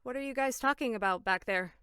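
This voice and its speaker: A woman's voice: a little high, quick pace, bright, forceful tone, smooth, dry recording, studio quality. A woman playing a shy, earnest, bookish young female counselor in an audio drama, hesitant and sincere. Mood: anxious. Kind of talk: horror audio drama acting.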